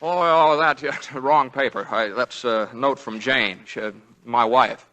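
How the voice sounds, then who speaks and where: A male actor plays a nervous young newlywed lawyer in a 1940s radio comedy-drama. His voice is bright and mid-pitched. He stammers, placates and dodges around the point. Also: a little slow, close to an everyday voice. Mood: nervous, deferential. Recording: 1940s radio broadcast recording.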